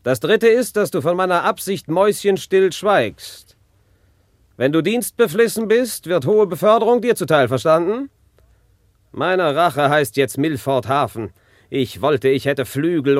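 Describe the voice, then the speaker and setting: A man's voice: a little high, animated, measured pace, no echo, studio quality. An actor playing a spiteful, vengeful nobleman in a classical radio drama, gloating, menacing and commanding. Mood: excited, commanding. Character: spiteful, vengeful nobleman obsessed with cruel revenge.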